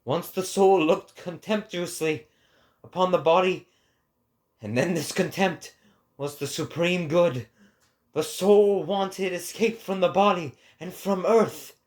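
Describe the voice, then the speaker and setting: Impassioned male prophet acted by a man, declamatory stage-style philosophical reading, fairly high voice, unhurried and slow. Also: a little mellow. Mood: grave, scornful. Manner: declamatory, oratorical.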